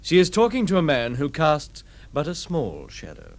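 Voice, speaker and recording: An actor playing a portentous story narrator in mid-century radio drama style, with deliberate phrasing. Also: male voice, fairly high pitch, wildly swooping pitch. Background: music.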